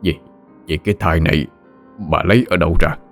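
A man voicing a sick, horrified old husband who stammers in a low, hushed voice. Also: fairly even intonation, fast, creaky, soft background music. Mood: grieving.

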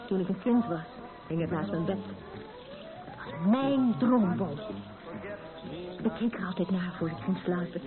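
An actress playing a young pregnant wife in a radio play, dreamy, tender and wistful, speaking in an intimate, reflective way. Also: female voice, fairly low pitch, unhurried, pauses often, close to an everyday voice. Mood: dreamy, nostalgic. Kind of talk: naturalistic radio play dialogue. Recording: thin phone-like old radio recording.

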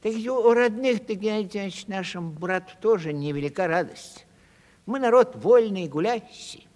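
An actor playing a plaintive, cheeky vagabond provincial comic in a 1970s audio stage drama, telling things animatedly. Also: male voice, high-pitched, normal speed, darkish tone, lightly acted. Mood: rueful storytelling. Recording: reverberant hall-like studio recording.

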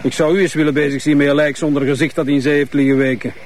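An actor playing a grumpy, sarcastic forensic investigator with a Flemish accent, bossy and mocking. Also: male voice, fairly high pitch, fairly even intonation, fast talker, slight background noise, natural voice. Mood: irritated. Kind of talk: naturalistic television crime drama acting.

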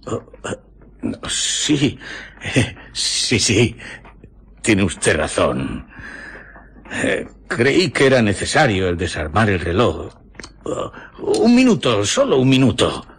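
An actor playing a nosy, nervous village clockmaker in radio drama, hesitant and muttering to himself. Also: male voice, fairly low pitch, wildly swooping pitch, slow, pauses often, a bit bright, slightly rough, heavily acted. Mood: flustered. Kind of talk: Spanish radio drama acting.

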